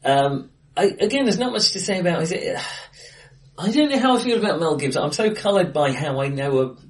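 A man co-hosting a casual film discussion, rambling with many ums and quick laughs, recorded in a bright, echoey room. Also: fairly high pitch, expressive. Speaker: podcast co-host. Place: acoustically bright room, face to face. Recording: bright room, voices a bit off-mic.

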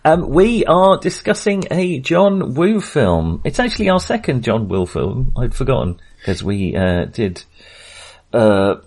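A man hosting a casual film discussion podcast, with a wry, jokey manner and a halting, hesitant delivery. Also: medium pitch, very animated, darkish tone, slightly rough. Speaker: podcast host.

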